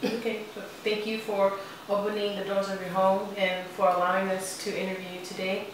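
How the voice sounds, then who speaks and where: Flat-voiced woman interviewer, echoey room. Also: medium pitch, fairly quick, recorded from a distance, slight background noise. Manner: polite, scripted-sounding questions. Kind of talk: casual student interview.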